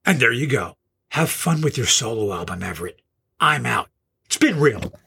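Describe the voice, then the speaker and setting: A man's voice: mid-range voice, sing-song, normal speed, gravelly, heavy background noise. A man acting in an audio horror drama as an angry, sarcastic rock drummer who is confrontational and fed up. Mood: bitter, dismissive.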